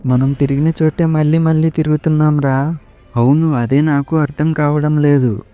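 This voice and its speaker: A man's voice: a little high, steady tone, moderate pace, warm tone, clean tone, muffled recording, natural voice. A man playing a young guy on a haunted-house dare in a horror cartoon, colloquial and urgent. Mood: nervous. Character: two young male friends on a haunted-house dare, jittery. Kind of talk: horror cartoon story dialogue. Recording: music behind the voice.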